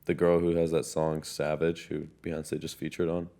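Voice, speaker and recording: A man's voice: rumbling low, completely flat delivery, moderate pace, mellow. A young man co-hosting a podcast, chatting casually and rambling with lots of fillers on a small studio stage. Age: young adult. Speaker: podcast co-host. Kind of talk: casual chat. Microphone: handheld mic.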